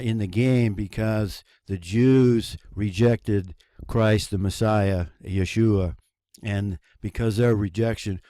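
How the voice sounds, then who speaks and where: A man from the United States talks alone to his channel audience in a low voice. He speaks slowly and deliberately, pressing his points like a preacher and often stopping to restart a phrase. The sound is dry and close, with no room echo. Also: dark tone. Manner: slow, deliberate, preachy emphasis with halting restarts. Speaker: channel host. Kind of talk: solo video monologue. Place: cold home running on a generator.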